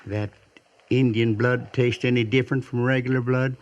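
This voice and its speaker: A man's voice: medium pitch, little pitch movement, moderate pace, dull audio, slight background noise, natural voice. A man playing a wry, skeptical frontier army scout with folksy, blunt speech, in 1950s radio western style. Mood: skeptical, callous.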